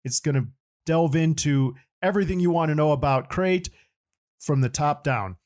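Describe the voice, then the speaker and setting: A man's voice: on the high side, normal speed, a little mellow. A man hosting a podcast, introducing the show in a casual, chatty style. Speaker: podcast host.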